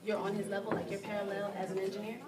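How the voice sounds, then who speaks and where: Quick-talking woman interviewer in a crowd. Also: medium pitch, completely flat delivery. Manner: quick, chatty, agrees often. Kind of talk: casual interview questions. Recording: far from the mic in a loud crowd.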